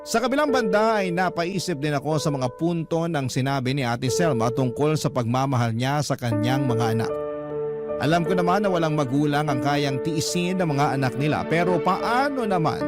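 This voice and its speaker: Compassionate, earnest male letter-writer narrator, played by an actor, fairly high voice, radio drama under prominent music. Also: animated, moderate pace, natural voice. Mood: pained, reflective. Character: troubled, compassionate letter writer recounting a painful story. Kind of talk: dramatic scripted first-person radio narration. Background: loud music.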